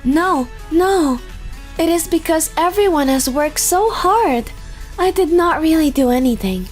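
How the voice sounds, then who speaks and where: Woman acting high-voiced, earnest young secretary. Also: animated, unhurried, faint music behind. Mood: bashful. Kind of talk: scripted manga dub acting.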